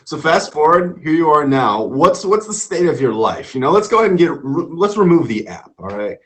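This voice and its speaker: A man's voice: on the high side, very animated, brisk. A man hosting an interview show, asking questions in a playful, joking way. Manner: upbeat, playful, jokey. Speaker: host. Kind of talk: casual interview.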